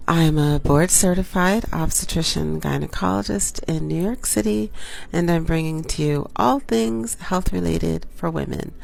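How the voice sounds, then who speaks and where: A woman doctor giving a casual health talk to her viewers, congested from a cold and pausing often with ums and self-corrections. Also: deep voice, gravelly, a little mellow. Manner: unhurried, halting with frequent ums and self-corrections. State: congested from a cold, occasional cough. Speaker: obstetrician gynecologist hosting a health show. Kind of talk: casual informational talk to camera.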